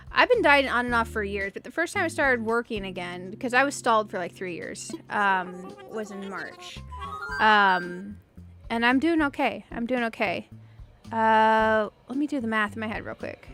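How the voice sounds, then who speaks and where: A woman in her late thirties with a high voice that sounds younger than her age. She rambles in a chatty, giggly way, as a streamer talking to viewers during a game. Music plays behind her. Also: normal speed, a bit bright. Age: late 30s. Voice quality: youthful-sounding voice. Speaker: streamer and video game host. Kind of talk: casual livestream chat over video game play.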